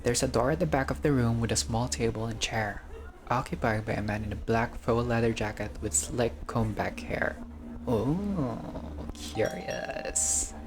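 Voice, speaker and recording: Male let's-player, medium pitch, reads game prose aloud and chats casually between passages. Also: a little slow, background noise. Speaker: gamer narrating a visual novel playthrough. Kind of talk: reading game text aloud with casual commentary.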